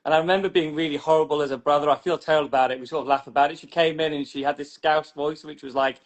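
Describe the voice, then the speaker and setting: Brief: British male comic, slightly high voice, rambling and self-deprecating on a video call. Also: fairly even intonation, rapid-fire. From Newcastle, England. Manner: rambling, many "like" fillers, self-mocking. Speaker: stand-up comedian. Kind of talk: casual chat about his act.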